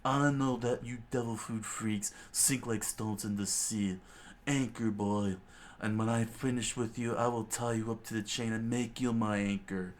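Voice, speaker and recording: A man's voice: on the low side, steady tone, a little slow, slight background noise, lightly acted. A man voicing a menacing, boastful pirate captain who makes pompous threats in an adventure story.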